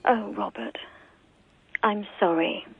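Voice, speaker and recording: Kind ex-wife, raspy and croaky, sounds like over the phone. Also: female voice, medium pitch, slow pace, narrow, tinny audio, faint music behind, full character voice. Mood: consoling. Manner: soft, consoling.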